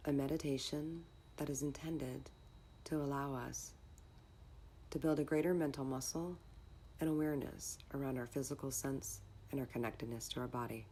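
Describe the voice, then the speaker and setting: Very low-pitched woman guiding meditation. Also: a little hiss or hum. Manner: calm, measured, instructional. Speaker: meditation guide. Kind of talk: guided meditation narration.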